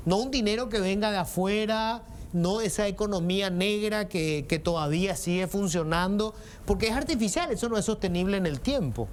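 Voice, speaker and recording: Male host, high voice, halting filler-laden questions, faint hiss. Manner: hesitant, filler-heavy questions. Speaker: talk show host. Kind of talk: hosting a political interview.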